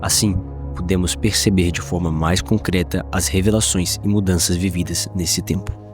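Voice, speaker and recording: A man calmly narrating a documentary in a formal, scripted way. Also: low voice, normal speed. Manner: calm, formal. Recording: music bed behind.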